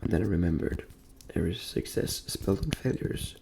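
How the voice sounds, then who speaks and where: A young man from Denmark speaking soft, breathy ASMR in a gentle near-whisper, repeating phrases as he talks in a personal, motivational way. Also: low voice, a little fast, background noise. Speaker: ASMR creator and host.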